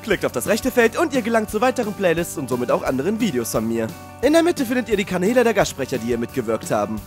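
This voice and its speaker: Man, video host, high voice, very animated intonation, energetic scripted outro. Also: quick pace, faint music behind. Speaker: fandub channel host and voice actor.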